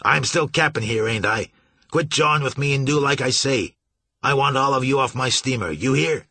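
A stubborn riverboat captain, as a narrator voices him barks blunt orders in folksy speech. The voice is husky and mid-pitched. It sits dry and close. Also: male voice, moderate pace, sharp tone, no room sound.

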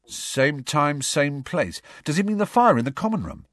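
A man narrating an audiobook, playing an earnest, excitable teenage schoolboy with an urgent, questioning manner. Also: on the high side, normal speed, no echo, pitched above the performer's own voice. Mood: puzzled, insistent.

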